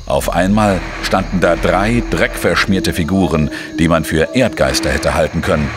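Low-voiced, world-weary male investigator played by an actor. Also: moderate pace, close to an everyday voice. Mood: sombre, measured. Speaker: narrator and lead actor. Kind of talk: crime radio drama narration and dialogue. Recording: music behind the voice.

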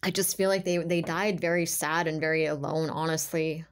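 A woman speaking as a tarot reader in an informal, intuitive monologue, halting and emphatic, circling back to repeat herself and often saying "like". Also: sits low, level tone. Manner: halting, repetitive, emphatic, filler-heavy with "like".

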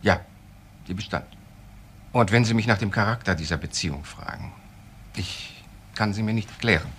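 A male actor plays a disciplined, cool professor in a crime radio play. He speaks formally and with measure in a fairly low voice. Faint noise sits behind him. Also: normal speed, a bit bright, natural voice. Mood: controlled bitterness. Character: disciplined, cool professor, bitter about his wife. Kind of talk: German crime radio drama acting.

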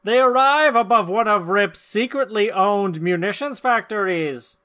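A man's voice: high-pitched, measured pace, pushed and bright. A middle-aged man co-hosting a podcast, reading comic captions dramatically and bantering casually. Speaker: podcast co-host, narrator.

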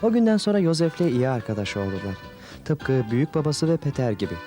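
A man tells a children's cartoon story in a mid-pitched voice with lively intonation. His phrasing is measured and scripted, and music plays clearly behind him. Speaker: narrator.

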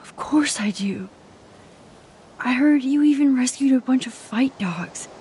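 An actress playing an earnest, conciliatory young woman in a video game, speaking in a gentle, pleading, diplomatic manner. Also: high-pitched, a little slow, husky, heavily acted. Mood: calm, placating. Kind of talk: dramatic video game voice acting.

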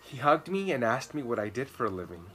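A man in his thirties reading a children's story aloud expressively, with slow, emphatic words. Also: mid-range voice, very animated, a bit bright, soft background music. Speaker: children's storytime reader and host. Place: outdoor garden patio. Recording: clear background noise, outdoor birdsong. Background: birds, outdoor ambience.

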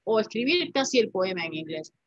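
A woman's voice: mid-range voice, big pitch swings, a little fast, dry recording. A poet in her fifties, a woman, speaking thoughtfully on a video call from home, often ending phrases with a questioning tag. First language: Spanish. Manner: thoughtful, reflective, many "¿no?" tags. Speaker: poet and writer, guest. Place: home room with paintings. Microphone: laptop webcam mic on video call. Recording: dull dry video call audio.

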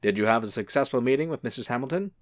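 An actor playing a charming, unruffled angel in a radio-style drama, gently teasing as he talks. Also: mid-range voice, rapid-fire, no room sound, clean studio sound, natural voice. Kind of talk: radio-play style acting.

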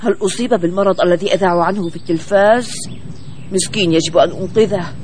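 A man voicing a friendly, puzzled young bird in an expressive, clearly spoken children's cartoon dub. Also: high voice, quick pace, slight background noise, natural voice. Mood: puzzled, worried. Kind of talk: Arabic children's cartoon dubbing.

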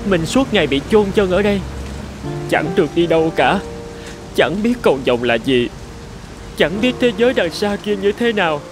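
A man playing a gloomy, self-pitying traffic light that longs for freedom, complaining plaintively in an animated fairy tale. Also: high-pitched, normal speed, long pauses. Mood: sad, complaining. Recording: music under the voice.

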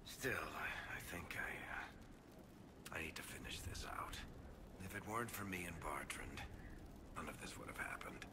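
An actor playing a weary, sardonic male rogue with dry, halting delivery in a fantasy game. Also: a bass voice, lively intonation, whispered, heavily acted. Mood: regretful. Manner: dry sarcasm, hesitant. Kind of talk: naturalistic fantasy game acting.

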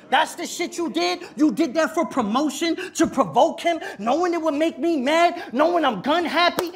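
A man's voice: very high voice, creaky. A man in his thirties performing battle rap a cappella on a club stage, taunting his opponent and repeating his lines, with Spanish slang mixed in. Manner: aggressive, taunting, repeats and shouts his bars. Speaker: battle rapper. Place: indoor club stage with a live crowd.

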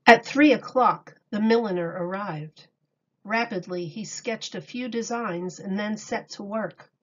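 A woman reading a picture book aloud to children like a teacher, deliberate and expressive. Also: mid-range voice. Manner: deliberate, expressive, explains words for young children. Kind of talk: read-aloud storybook narration. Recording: dull lo-fi recording.

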